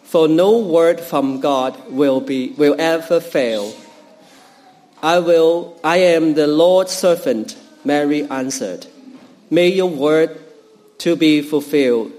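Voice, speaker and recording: Slow, careful Cantonese-native man reading. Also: a little high, a little mellow, soft background music. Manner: halting, careful reading with fillers and restarts. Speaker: scripture reader. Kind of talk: Bible reading in church.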